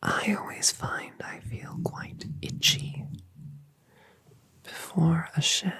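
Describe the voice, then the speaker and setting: A woman voicing a colossal, doting mother dragoness, whispering breathily and cooing gentle questions in an ASMR roleplay. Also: slow. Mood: tender.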